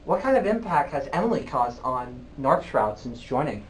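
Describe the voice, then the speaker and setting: A man's voice: mid-range voice, sing-song, moderate pace, far from the mic, a little hiss or hum, poor audio. A man hosting a live college radio show in the studio, interviewing a band in a playful, flustered, laughing way.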